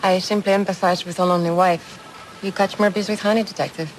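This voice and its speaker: An actress playing a cool, shrewd insurance investigator in a crime drama, composed, articulate and gently barbed. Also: female voice, fairly low pitch, steady tone, fast talker, slight background noise, lightly acted, low-quality recording.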